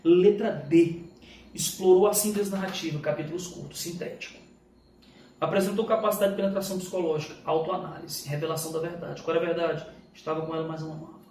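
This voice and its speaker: High-voiced Brazilian male teacher, echoey room. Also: fairly even intonation, fast talker, warm tone. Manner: emphatic, didactic, asks and answers own questions; informal, slangy. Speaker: literature teacher. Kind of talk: live lesson, walking through exam questions. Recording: echoey room, distant mic, faint noise.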